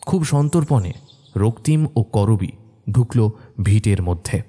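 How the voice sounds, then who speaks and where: A man narrating a scripted horror story in a measured, suspenseful, dramatic manner. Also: on the low side, expressive. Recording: soft music bed behind narration.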